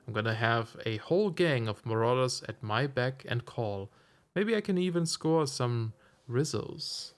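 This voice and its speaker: A slightly hoarse man chatting casually while streaming a game, musing aloud with self-amused asides. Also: medium pitch, expressive, measured pace. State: slightly hoarse, losing his voice. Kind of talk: casual let's-play commentary.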